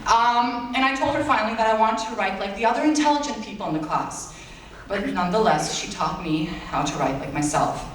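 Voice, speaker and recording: A man's voice: sits high, animated, a little fast, hardly any pauses, a bit bright, slight background noise. Teen boy's speech, echoing hall from afar. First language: Bulgarian. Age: late teens. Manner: earnest, self-deprecating, with playful asides. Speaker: valedictorian. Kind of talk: graduation speech. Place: large school auditorium. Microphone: far-off audience camera. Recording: echoey hall recorded from far off.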